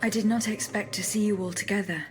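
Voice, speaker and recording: A woman's voice: medium pitch, fairly even intonation, fast talker, soft background music, lightly acted. A woman voicing a reserved, determined female automaton warrior in a video game, formal and terse. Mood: guarded. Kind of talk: video game cutscene acting.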